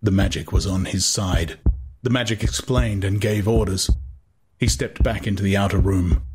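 A man narrating a literary audiobook in a dramatic, intense way, with deliberate phrasing. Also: on the low side, steady tone, over music.

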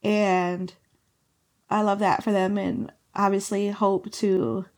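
A woman co-hosting a podcast in casual chat, slightly hoarse, laughing often and filling her talk with "like". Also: medium pitch, level tone, slow. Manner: laughing, chatty, lots of "like" fillers. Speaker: podcast co-host.

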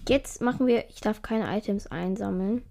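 A young woman talks through a mobile game in a loose, casual way, swearing lightly whenever she fails. Her voice is mellow but a little hoarse and cracks now and then. Her pitch keeps drifting up high. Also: normal speed. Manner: voice keeps rising high at phrase ends. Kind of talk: casual gameplay commentary.

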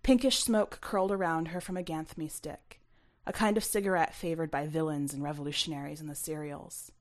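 A woman narrates first-person fiction from a script, as an author reading her own work. Her voice sits a little low. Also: steady tone, a little fast.

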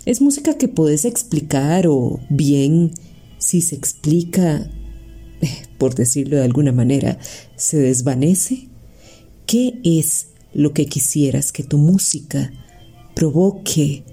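A Costa Rican woman hosts the interview with a low, dark voice. She talks slowly and formally and draws out her phrases. Her voice is a little husky, with faint music underneath. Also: animated. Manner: warm formal praise, slow deliberate phrasing. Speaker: interview host. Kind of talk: slow formal radio-style interview.

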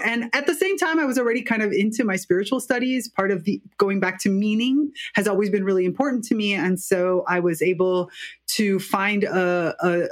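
A middle-aged American woman, a guest in an interview, telling her life story in an animated, chatty way with frequent fillers. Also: mid-range voice. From United States.

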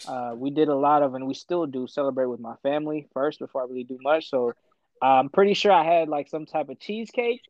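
A man in his twenties, born in Ethiopia, chatting casually as a podcast guest, slangy and quick to laugh. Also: mid-range voice, no room sound, lo-fi sound. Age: 20s. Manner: relaxed, slangy, laughs often. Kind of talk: casual chat.

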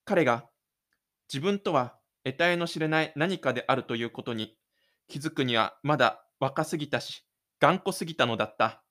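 A man's voice: medium pitch, normal speed, fairly bright tone. A man reading scripted literary narration for an audio drama.

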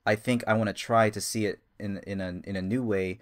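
A man's voice: on the low side, fairly even intonation, brisk. A man in his thirties co-hosting a casual podcast, rambling and enthusiastic, with many fillers and restarts. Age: 30s. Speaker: podcast co-host.

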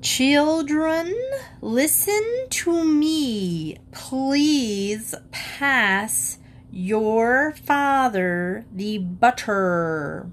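A woman's voice: on the high side, expressive, very slow, a little hiss or hum, pitched above the performer's own voice. A woman voicing an exasperated mother of three, stern and careful.

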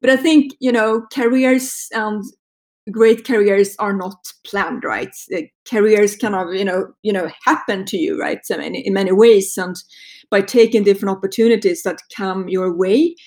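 A woman in her fifties from Sweden with a Swedish accent, a marketing executive telling her story in a relaxed interview, full of fillers and restarts. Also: mid-range voice, moderate pace. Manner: hesitant, many fillers and restarts. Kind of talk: casual interview talk.

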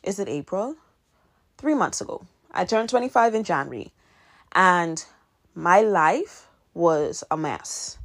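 A woman's voice: lowish voice, lively intonation, slow, long pauses, a bit bright. Earnest young woman giving podcast advice. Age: 20s. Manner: earnest, repeats key points for emphasis. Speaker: podcast host and author. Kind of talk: casual advice monologue.

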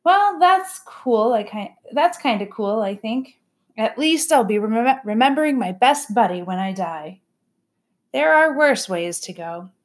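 A woman voicing a feisty young female dog who bickers with her brother, with sassy, quick retorts in a storybook reading. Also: fairly high pitch, animated. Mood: grudging.

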